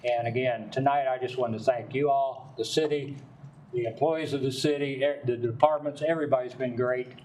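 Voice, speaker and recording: An older man representing a developer, giving folksy, rambling testimony at a city council meeting and speaking loudly on purpose. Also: mid-range voice, steady tone, normal speed, distant mic, slight background noise, low-quality recording.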